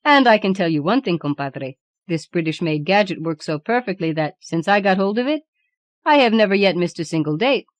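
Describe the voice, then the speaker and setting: A woman's voice: lowish voice, dry recording, natural voice. A woman voicing Death as a male skeleton in a storybook, garrulous, boastful and formal in his storytelling.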